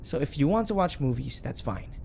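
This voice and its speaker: A man's voice: medium pitch, very animated, a little fast, vocal fry, dark tone, a little hiss or hum. A man playing a flustered, obsessively devoted bodyguard in an audio roleplay, earnest and nervously backpedalling. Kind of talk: audio roleplay acting addressed to the listener.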